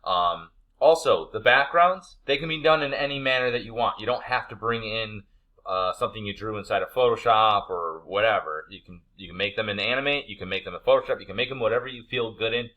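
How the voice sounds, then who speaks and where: A man's voice: fairly low pitch, a little fast, lo-fi sound. A man teaching a class, talking through an assignment informally in an easygoing, colloquial way. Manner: easygoing, colloquial, laid-back phrasing. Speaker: instructor of an animation class. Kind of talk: informal spoken assignment walkthrough.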